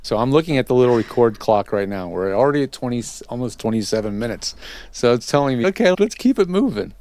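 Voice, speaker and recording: A man's voice: mid-range voice, lively intonation, quick pace, darkish tone, no echo. A man hosting a casual interview, chatting in a rambling way that drifts into tangents. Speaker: podcast host and interviewer.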